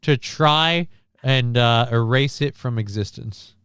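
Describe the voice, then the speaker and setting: A man's voice: mid-range voice, a little slow, a bit bright, clean tone, no room sound. A man hosting a livestream, giving casual, profane movie commentary in a blunt, sarcastic, ranting manner. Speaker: livestream host.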